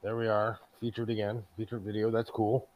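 A man's voice: on the low side, monotone, fairly quick, darkish tone. A man co-hosting a talk show in casual, bantering conversation, in slightly dull audio. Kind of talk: casual chat.

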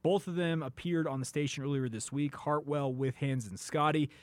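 A man's voice: on the high side, steady tone, normal speed, dry recording. An American man hosting a sports radio show in a casual, conversational style. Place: radio studio.

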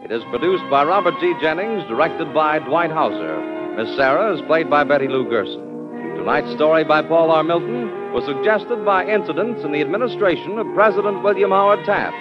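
A man's voice: a little high, expressive, normal speed. An American man announcing in a formal 1940s radio style. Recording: dull vintage radio over loud music.

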